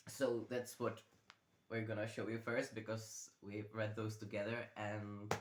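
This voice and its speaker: A man's voice: fairly low pitch, little pitch movement, measured pace. Chatty young man. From Czech Republic. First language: Czech. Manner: chatty, rambling, with many fillers. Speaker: book vlogger. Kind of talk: casual chat. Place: bedroom. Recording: roomy bedroom echo.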